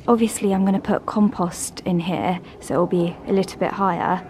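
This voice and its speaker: A woman's voice: on the low side, fairly even intonation, normal speed, background noise. A woman chatting casually and affectionately to her vlog audience. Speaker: vlogger, host of a personal channel.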